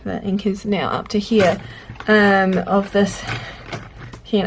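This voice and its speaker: A woman who is a bit congested chats in a rambling way while she fills in a journal, stopping to correct herself and talk to herself as she works. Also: mid-range voice. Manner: rambling, self-interrupting, talks to herself while working. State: congested, sniffly. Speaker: journaling vlogger. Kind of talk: chatty real-time journaling commentary. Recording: faint clicking and background noise.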